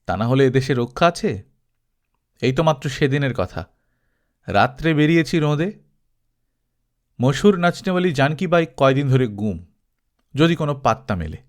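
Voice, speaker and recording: A man's voice: medium pitch, very animated, normal speed, pauses often, close to an everyday voice. A man reading the part of a hospitable, wry police inspector in an audiobook travelogue, with a teasing, rhetorical manner. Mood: storytelling.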